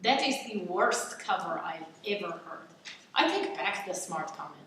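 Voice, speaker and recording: A woman's voice: fairly low pitch, sing-song, normal speed, a bit bright. A woman playing a vengeful, commanding Russian spy in a radio play. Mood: scornful. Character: defiant, vengeful female Russian spy holding captives. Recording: roomy echo, distant mic, faint noise.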